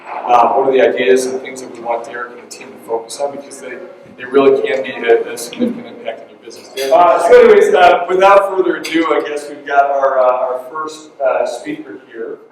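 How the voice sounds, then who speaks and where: A man gives loose, halting remarks to a room at a live event, stopping and starting his sentences. He sounds a bit distant from the mic, with heavy echo from the big hall around him. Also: medium pitch, steady tone, a little hiss or hum. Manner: rambling, halting with many restarts and fillers. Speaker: event emcee introducing a speaker. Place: large event room with an audience.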